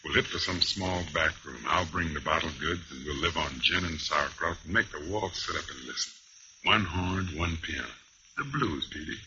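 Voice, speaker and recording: An actor playing a dreamy, boozy nightclub pianist in a radio noir, talking in a florid, rambling, poetic hipster way. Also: male voice, rumbling low, normal speed, pushed and bright, heavily acted. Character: dreamy, boozy male nightclub piano player. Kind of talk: old-time radio noir acting. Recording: muffled, noisy old radio recording.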